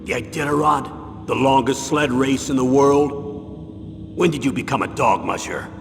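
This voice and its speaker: A man's voice: medium pitch, fairly even intonation, lightly acted. Guy doing a stern veteran colonel in a video game, formal mission briefing voice, big echo, music behind. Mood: firm, pressing. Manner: authoritative, measured, formal. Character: stern, persuasive older army colonel, seasoned veteran. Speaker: military commander briefing an agent. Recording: long reverb, music behind.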